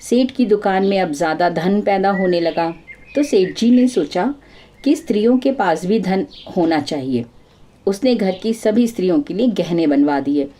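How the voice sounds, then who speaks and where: A woman's voice: medium pitch, dark tone. A woman storyteller narrating a scripted moral folk tale.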